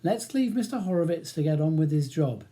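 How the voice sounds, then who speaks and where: A reader voicing a poised woman barrister who speaks politely, with sweet, calming firmness. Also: mid-range voice, a little fast, natural voice. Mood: soothing, poised. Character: poised, soothing female barrister, sweetly firm.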